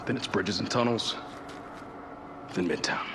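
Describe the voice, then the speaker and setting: A man plays a serious squad soldier in a war game. His voice is on the low side, clipped and businesslike, with clear background noise around it. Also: unhurried, natural voice. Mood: grave, informative. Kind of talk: cinematic video game acting.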